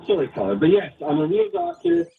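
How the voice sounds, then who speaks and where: A man's voice: very high-pitched, wildly swooping pitch, a little fast, gravelly, crowd noise. A male caller talking in boastful, repetitive non sequiturs, phoning in to a talk show. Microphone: phone. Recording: tinny phone line with faint hiss.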